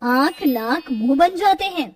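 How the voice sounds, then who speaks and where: A woman's voice: high-pitched, animated, a little slow, recorded from a distance. A woman narrator reading a scripted cartoon story.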